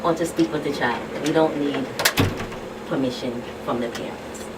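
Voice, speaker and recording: Low-voiced Caribbean woman, distant and noisy. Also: normal speed. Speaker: guest expert, child protection official. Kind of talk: live radio talk show discussion. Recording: distant mic, clearly noisy background.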